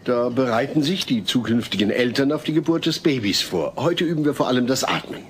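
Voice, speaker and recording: Man as earnest, animated sitcom dad. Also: medium pitch, fairly quick, close to an everyday voice. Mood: firm. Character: exasperated, earnest suburban father-to-be. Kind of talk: dubbed sitcom audio play. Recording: noisy old cassette audio.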